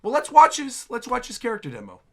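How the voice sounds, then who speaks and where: A man giving casual, excitable reaction commentary as a streamer, with slangy exclamations. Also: very high voice, fast talker. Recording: trailer music behind the voice.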